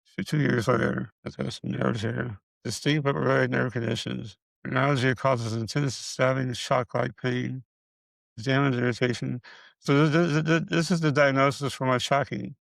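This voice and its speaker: A middle-aged man speaks in a raspy, deeply gravelly voice, close and dry with no room sound. His speech halts, with stutters and repeated syllables as he runs short of breath. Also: fairly even intonation, moderate pace, fairly bright tone, no room sound, rough recording. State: short of breath, struggling to get words out. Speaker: video creator sharing his illness progress. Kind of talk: casual personal health update.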